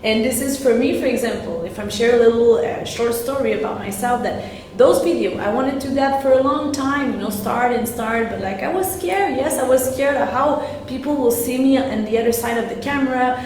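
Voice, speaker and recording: A woman's voice: fairly high pitch, a little fast, a little mellow. A woman whose first language is French gives a live-streamed sales talk in English, hesitating and often repeating and restarting her words. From French-speaking. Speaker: sales coach. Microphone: live-stream camera. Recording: very echoey room, faint noise.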